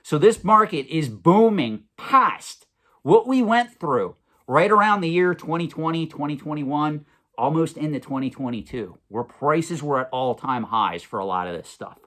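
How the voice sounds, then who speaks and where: A man's voice: a little high, lively intonation, normal speed. A man with a head cold, sounding congested, delivering a one-take monologue to camera as a collectibles investment host, emphatic and prone to repeating himself. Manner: emphatic, repeats himself, swings loud and soft. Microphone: camera mic, self-recorded.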